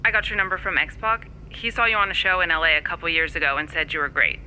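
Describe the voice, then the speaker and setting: Very bright, businesslike woman recruiter. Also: fairly low pitch, fairly even intonation, fast talker, slight background noise, low-quality recording. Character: businesslike, friendly female wrestling talent recruiter. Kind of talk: video game cutscene acting.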